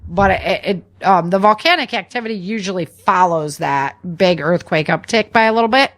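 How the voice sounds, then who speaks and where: A woman hosts a podcast in a casual, chatty style. Her voice sits at a medium pitch. She talks in an animated, folksy manner, addressing listeners directly and tossing in informal asides. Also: normal speed. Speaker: podcast host. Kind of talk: casual news chat to listeners.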